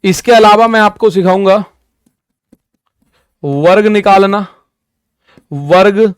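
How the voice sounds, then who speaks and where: A man's voice: high-pitched, clear, resonant tone, no echo, studio quality. A young man in his twenties teaching a maths lesson for exam preparation, repeating points and talking straight to his students with everyday examples. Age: 20s. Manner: repetitive, addresses students directly with "ठीक है" and "भाई".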